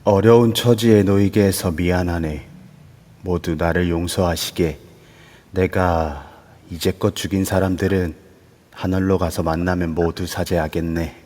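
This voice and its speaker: A man voicing the vengeful ghost of an old court noble, speaking slowly in deferential archaic speech. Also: low-pitched, fairly even intonation, dark tone. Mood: remorseful. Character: vengeful ghost of a Joseon noble, torn and grieving. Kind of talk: Korean fan audio drama acting. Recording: echoey long reverb.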